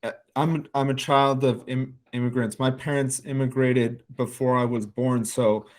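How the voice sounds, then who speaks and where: A man's voice: medium pitch, steady tone, unhurried. A man hosting a podcast, introducing and questioning his guest in an interview style. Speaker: podcast host.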